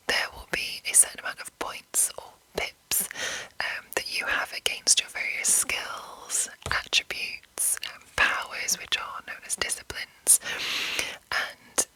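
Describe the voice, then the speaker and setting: A woman whispering an explanation in a breathy, rambling, unscripted ASMR style, soft and measured. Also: poor audio. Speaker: ASMR host explaining a roleplaying game.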